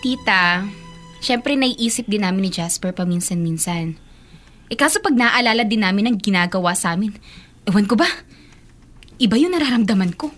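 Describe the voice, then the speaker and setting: Young Filipino big sister, mid-range voice, frank, resigned, conversational radio drama. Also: female voice, medium pitch, animated, moderate pace, long pauses, fairly bright tone, soft background music. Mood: earnest, uneasy.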